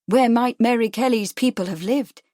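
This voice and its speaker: A woman's voice: a little high, moderate pace, dark tone, no echo, studio quality, natural voice. A woman voicing a polite, determined young Irish woman in a story, with a broad brogue and a coaxing manner.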